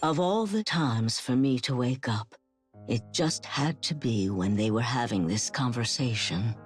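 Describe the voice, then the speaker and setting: An actress playing a frail, dying young woman in a video game, resigned and stubborn, with wistful, halting delivery. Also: very deep voice, lively intonation, faint music behind. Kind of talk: dramatic video game cutscene acting.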